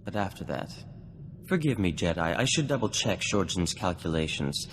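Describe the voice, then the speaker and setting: An actor playing a proud, courteous inventor in a video game, with a formal, pompous and precise manner. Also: male voice, low-pitched, very animated, moderate pace, noisy background. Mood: earnest. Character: proud, courteous male alien inventor, grandly scholarly. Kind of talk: video game cutscene acting. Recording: long reverb, music underneath.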